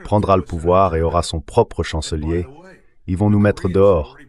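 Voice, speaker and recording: A man's voice: low voice, steady tone, moderate pace, darkish tone, lightly acted. A man's synthetic dubbing voice, speaking as a guest giving translated interview commentary.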